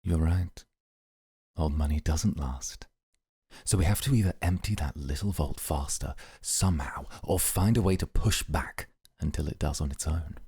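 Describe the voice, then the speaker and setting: A man playing a stern, serious mafia boss in an ASMR roleplay, gravelly, measured and formal, with dry sardonic understatement. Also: extremely low pitch, warm tone, no room sound, exaggerated voice. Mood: calculating.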